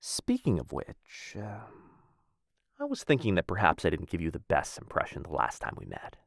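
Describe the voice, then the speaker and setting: A man plays a dangerous assassin in love, in an intimate audio roleplay. His voice is very low, with a widely swinging melody. He teases in courtly, old-fashioned phrases, recorded close and dry. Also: gravelly, no room sound, exaggerated voice. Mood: apologetic, earnest. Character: smooth, menacing yet lovestruck male assassin. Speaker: voice actor. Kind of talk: boyfriend ASMR roleplay.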